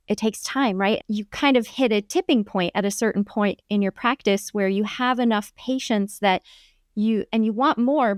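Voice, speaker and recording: A woman speaks as a podcast guest, explaining things in a friendly, reassuring way. Her voice is smooth and clear at an ordinary pitch, recorded close and dry. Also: no echo. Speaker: marketing coach, podcast guest. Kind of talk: conversational podcast interview.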